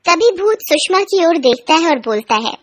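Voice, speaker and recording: High-voiced woman narrating a story. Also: sing-song, moderate pace.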